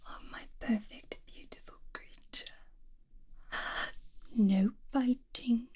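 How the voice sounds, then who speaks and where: A doting, possessive mad scientist lady, as acted by a woman for a fantasy ASMR roleplay. She whispers softly and rather high, with a mellow tone. She coos and gently scolds as if fussing over a precious creation. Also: full character voice. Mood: gently scolding. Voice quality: soft whisper. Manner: cooing, gently scolding, slow and breathy.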